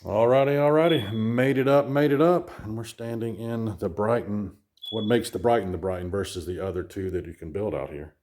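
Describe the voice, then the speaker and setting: A real estate agent with a hoarse, worn voice, chatting casually as he walks through an empty new house. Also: lowish voice, normal speed, darkish tone. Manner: chatty, rambling, self-deprecating. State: hoarse, losing his voice. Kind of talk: casual home tour vlog. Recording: echoey empty rooms, faint smoke alarm chirps.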